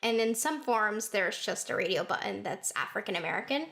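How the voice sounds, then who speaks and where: Woman born in Sudan, podcast co-host, gravelly fry, earnest and conversational. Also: medium pitch, animated, fairly quick, fairly bright tone. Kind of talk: casual chat.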